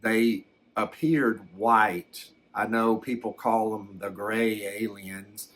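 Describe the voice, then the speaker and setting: Guy acting as a plain country man telling his alien abduction story asmr style, halting, really slow delivery. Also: on the low side, fairly even intonation, fairly bright tone. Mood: bemused, hesitant. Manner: hesitant, halting, plain-spoken rural phrasing.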